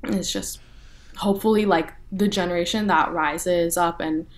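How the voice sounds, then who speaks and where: A young woman from North Texas, speaking as an interview guest in a relaxed way with lots of "you know" and "like" fillers. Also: lowish voice, normal speed. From North Texas, USA. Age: young adult. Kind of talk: casual interview talk.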